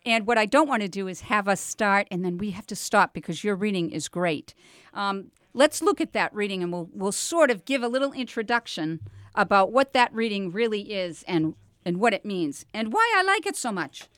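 A woman's voice: mid-range voice, expressive, moderate pace, a bit bright, dry recording, clean studio sound. An older American woman hosting a casual radio talk show, chatty and conversational, speaking directly to her listeners. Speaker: radio host. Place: radio studio.

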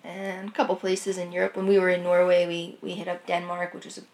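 A woman guest on a podcast, chatting and telling travel stories in a quick, lively way. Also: on the low side, echoey room, low-quality recording. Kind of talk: casual chat.

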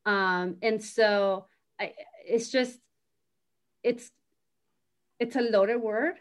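A woman's voice: mid-range voice, very slow. A woman speaking as a guest in a casual interview, talking freely and often adding "you know" and "right?". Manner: talkative, peppers speech with "you know" and "right?".

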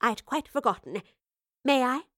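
A woman's voice: high-pitched, lively intonation, measured pace, a bit bright, no room sound, pitched above the performer's own voice, exaggerated voice. Storybook role: female narrator as formal, inquisitive lady scientist, precise and courteous, dry sound. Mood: eager, surprised. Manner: courteous, old-fashioned, precise. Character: polite, formal, inquisitive lady professor, a time-travelling scientist.

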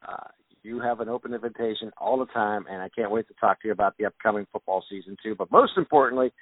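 A man hosting a sports podcast, talking in a relaxed, rambling way full of fillers. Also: lowish voice, fast talker, no echo. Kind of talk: casual sports interview. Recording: tinny phone-like sound.

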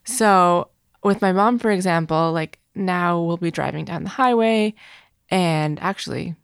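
A woman's voice: lowish voice, unhurried, creaky, clean tone, no room sound, clean studio sound. A young adult woman co-hosting a podcast in casual, conversational chat. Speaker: podcast co-host.

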